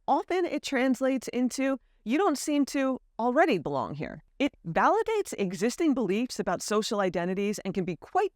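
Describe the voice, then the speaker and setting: An American woman speaks candidly and earnestly to the camera, reflecting on her life abroad. Her pitch moves freely and expressively, and the recording is close and dry with no room sound. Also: dry recording. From United States. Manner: earnest, candid, lively emphasis. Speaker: vlogger. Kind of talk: reflective personal storytelling to camera.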